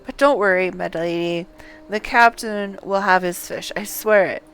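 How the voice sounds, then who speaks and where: A woman's voice: medium pitch, a little slow, fairly bright tone, a little hiss or hum, poor audio. Woman acting an eager, deferential fishing villager. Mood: eager, apologetic. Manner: obliging, earnest, deferential. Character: eager, apologetic fishing villager in fantasy game.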